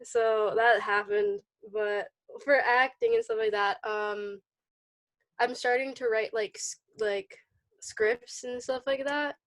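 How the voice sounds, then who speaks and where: A young female acting student chatting casually, in a hesitant, halting way. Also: on the high side, fairly even intonation. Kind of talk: casual chat.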